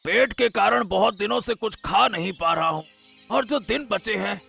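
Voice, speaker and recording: A man voicing an ailing older husband in a cartoon story, whiny, groaning and complaining in a creaky voice. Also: high voice, pushed and bright, no room sound, faint music behind, full character voice. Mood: weary, suffering. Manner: slow, groaning, protesting.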